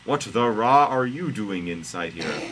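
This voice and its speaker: Man doing forceful, haughty pharaoh. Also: lowish voice, lively intonation, a little slow, a little hiss or hum. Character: haughty, mocking male pharaoh of comic tale.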